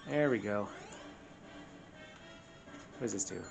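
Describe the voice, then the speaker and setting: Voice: deep voice, slow, gravelly. A gamer chatting casually while playing, muttering to themselves and swearing freely. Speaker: gamer on a let's play show. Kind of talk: casual gameplay chat. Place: indoors, room with a TV screen. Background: video game music.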